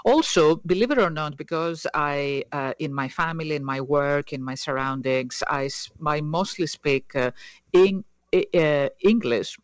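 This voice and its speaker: A woman's voice: deep voice, measured pace, no room sound. Woman in an interview, lots of ums and restarts, dry close sound. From Greece. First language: Greek. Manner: hesitant, many fillers and restarts. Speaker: guest. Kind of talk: casual interview conversation.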